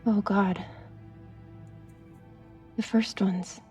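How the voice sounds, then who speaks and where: An earnest, mellow crewmate. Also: female voice, medium pitch, fairly even intonation, extremely slow, soft background music. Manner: earnest, emotional. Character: sympathetic female crewmate.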